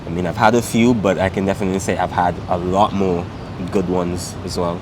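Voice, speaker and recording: A man's voice: low voice, fairly quick, noisy background. A young man from Saint Vincent and the Grenadines, chatting in a casual interview with lots of "um" and "like". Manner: relaxed, many fillers like "um" and "like".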